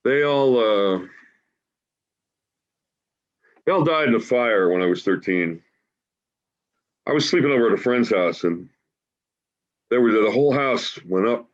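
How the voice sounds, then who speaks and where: A man in his forties acting a grieving, wry homeless man in a staged play reading, halting and emotional in a conversational way. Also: mid-range voice, animated, natural voice. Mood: wistful, sorrowful. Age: 40s. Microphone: video call. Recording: dull, lo-fi video call audio.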